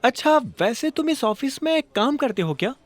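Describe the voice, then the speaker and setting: A woman's voice: sits high, sing-song, moderate pace, no echo. A woman playing a playful, inquisitive, pushy young rich woman in an audio drama, teasing and probing. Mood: suspicious, probing. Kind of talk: Hindi audio drama dialogue.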